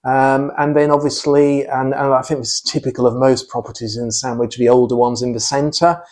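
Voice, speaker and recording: Hesitant, measured middle-aged English man. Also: medium pitch, flat delivery, darkish tone. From England, born in Sheffield and later Kent. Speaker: interview guest. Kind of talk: casual personal conversation.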